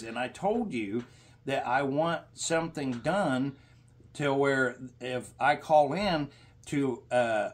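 A middle-aged man making a confrontational complaint as a caller, speaking in a halting, insistent, lecturing way, recorded in a small room. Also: medium pitch. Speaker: caller to a senator's office.

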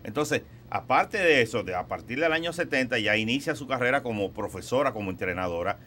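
A man's voice: mid-range voice, animated, a little fast, pushed and bright. A man with a Dominican accent leads a sports talk show interview in a mid-range voice. He asks his questions steadily. There is faint background hiss in the studio. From Dominican Republic.

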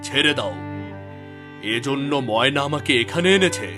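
A man voicing a stern but caring fairy-tale king, measured and authoritative. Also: mid-range voice, lively intonation, over music, close to an everyday voice. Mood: stern, reproachful. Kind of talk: cartoon fairy-tale voice acting.

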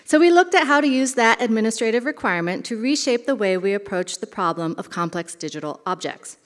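A woman giving a short conference presentation from a lectern, in a brisk, plainspoken way. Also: fairly high pitch, lively intonation. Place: large hall, at a lectern. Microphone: podium microphone. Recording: hall reverb.

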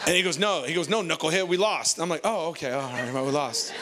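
A man in his forties with an American accent preaching an informal, animated, conversational sermon into a handheld microphone on a church stage. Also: fairly high pitch, fast talker, noisy background. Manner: conversational, animated, colloquial, repeats phrases for emphasis. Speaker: pastor.

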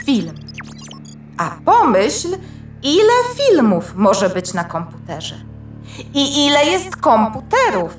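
A tiny proud boy byte, echoey. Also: medium pitch. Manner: boastful, playful, emphatic. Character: tiny, proud, chatty male byte creature inside a computer. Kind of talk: children's audio drama. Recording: roomy echo, distant.

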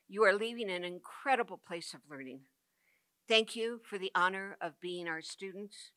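An older woman, a college president, giving an earnest, measured commencement speech from a podium. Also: mid-range voice, fairly bright tone, dry recording. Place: indoor stage with podium. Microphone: podium mic.

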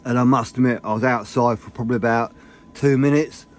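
A man chatting casually to camera from inside his camper van, rambling and enthusiastic, his voice a little hoarse. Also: medium pitch, steady tone, a little fast, a little hiss or hum. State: slightly hoarse. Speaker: vlogger. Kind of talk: casual vlog chat.

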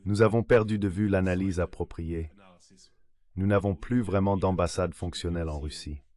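This is a man's voice, clearly synthetic and very low in pitch. It delivers a scripted translation voice-over for an interview guest. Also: steady tone, moderate pace, a little mellow.

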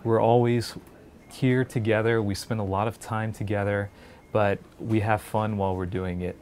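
A man's voice: on the low side, level tone, moderate pace, a little mellow, slight background noise. A man, earnestly talking to camera in an indoor research lab on a lapel mic. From India, raised in New Jersey. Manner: earnest, encouraging. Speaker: pediatric clinical fellow.

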